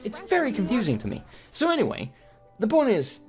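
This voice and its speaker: A British man talking over his game with a lively, swooping voice that jumps up and down as he jokes. He swears freely and drifts into long, rambling anecdotes, and a faint bed of game music sits underneath him. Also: sits high, a little fast. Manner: profane, joking, animated storytelling. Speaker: gaming video host. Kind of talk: let's play commentary, rambling anecdotes.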